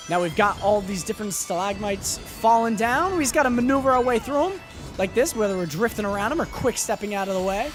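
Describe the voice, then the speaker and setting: A man doing live gameplay commentary while he is losing his voice, talking upbeat and rambling with lots of fillers and restarts. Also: sits high. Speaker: game streamer. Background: loud game music.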